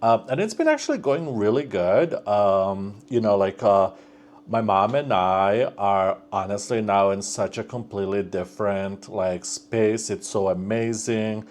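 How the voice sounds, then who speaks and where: A man's voice: on the low side, lively intonation, measured pace, darkish tone. A young man chatting casually on his vlog, rambling with lots of fillers and restarts. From Bosnia and Slovenia region, raised in North America from thirteen. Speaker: vlogger. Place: at home, working on a build project.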